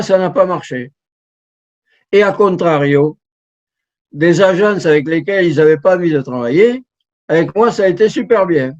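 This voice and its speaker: A middle-aged translator gives an informal talk over a video call, his voice fairly high for a man. He speaks slowly and keeps restarting and repeating words as he works through his points. The sound is dull and lo-fi, like a computer microphone on a call. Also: darkish tone. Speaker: professional translator giving a talk. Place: home setup on a video call. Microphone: computer mic on a video call.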